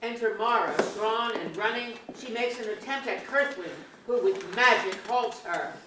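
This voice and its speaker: A woman's voice: on the high side, moderate pace. A woman reading out scripted stage directions as narrator at a staged reading of a play. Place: museum auditorium, staged reading. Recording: very echoey, noisy distant auditorium.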